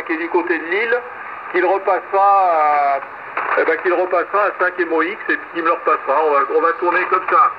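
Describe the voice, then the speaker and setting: A man's voice: sits high, muffled recording. Ham radio man through static. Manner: rambling, many fillers and restarts. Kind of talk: casual radio chat.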